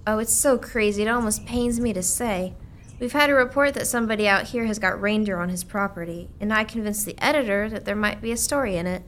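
A woman's voice: mid-range voice, a little fast, a bit bright, slight background noise, close to an everyday voice. A woman playing a chatty American local reporter in a radio play, folksy and conversational. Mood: friendly, curious. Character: chatty local reporter, mother of two, skeptical but sympathetic.

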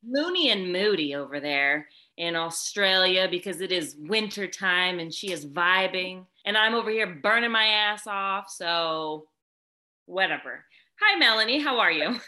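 A woman's voice: lowish voice, animated, a little slow, bright, forceful tone. A woman co-hosting a casual chat, bubbly and rambling, often trailing off mid-thought. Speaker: podcast co-host.

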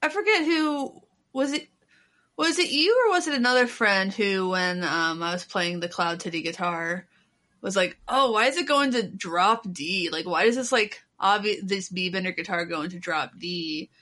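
A woman chatting casually and informally, with animated, mocking asides. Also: medium pitch, normal speed. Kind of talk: casual chat.

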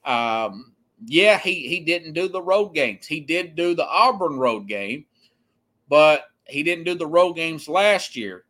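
A man with a fairly high, very bright and forceful voice delivers off-the-cuff sports opinion as a podcast host. He speaks emphatically, stopping and restarting and repeating himself to drive a point home. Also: animated. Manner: halting, repetitive, emphatic. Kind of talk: unscripted opinionated sports commentary.

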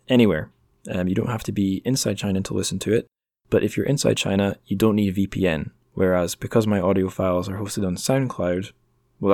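Low-voiced man, self-deprecating podcast host. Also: a little mellow, no echo, clean studio sound. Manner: self-deprecating, jokey, rambling. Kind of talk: casual solo podcast outro, chatty plugs for the show.

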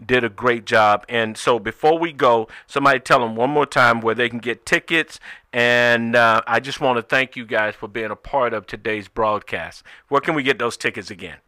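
A man's voice: mid-range voice, normal speed, sharp tone, no room sound. A man hosting a radio show, talking to guests and listeners in an encouraging, motivational way. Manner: encouraging, exhortative.

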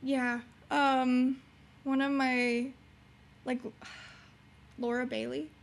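A woman's voice: flat delivery, drawn-out words. A young woman with a youthful voice and a bubbly, giggly manner, chatting casually as a guest in an interview. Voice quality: high, youthful voice. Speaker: podcast guest, streamer and beginning voice actor.

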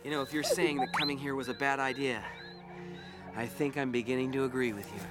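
Earnest young adventurer over loud music, played by a man. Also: mid-range voice, fairly even intonation, fairly quick, close to an everyday voice. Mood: relieved. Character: earnest, impatient young male space adventurer. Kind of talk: radio drama acting.

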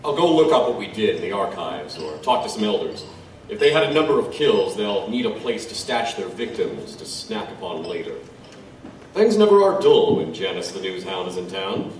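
A man playing a wry, knowledgeable tribesman in an audio drama, measured and musing as he tells lore. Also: deep voice, big pitch swings. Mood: advising. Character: wry, knowledgeable Chinook tribesman, old friend and lore-teller. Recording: roomy, distant, faint background noise.

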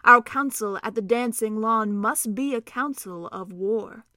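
Brief: a woman doing a grave old male centaur seer, loud, slow and solemn. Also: dry recording, clean studio sound. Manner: solemn, booming declarations. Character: stern old male centaur prophet, noble.

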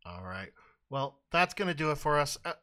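A man with a bright, forward voice and a slightly raised pitch co-hosts a loose comedy podcast. He lays out ridiculous bits with a deadpan, mock-serious delivery. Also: moderate pace. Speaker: podcast co-host. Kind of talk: casual comedic podcast chat.

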